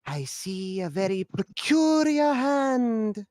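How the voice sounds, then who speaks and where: A woman's voice: fairly high pitch, big pitch swings, takes it slowly, darkish tone, no room sound, studio quality. A woman playing a dotty, bossy elderly former actress in a screenplay table read, theatrical and scolding. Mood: ominous.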